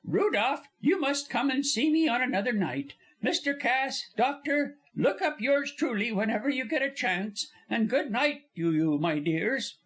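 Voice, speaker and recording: A man voicing a cheerful, chatty young actress in a high, babbling voice. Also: animated, a little slow, few pauses, a bit bright, heavily acted. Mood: jovial. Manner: bubbly, babbling, high-pitched.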